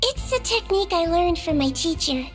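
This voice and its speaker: Very high-voiced little girl nurse. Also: animated, normal speed. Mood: gentle, cheerful. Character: small gentle Melusine-like girl head nurse, sweetly sly. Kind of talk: video game voice acting. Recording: music behind the voice.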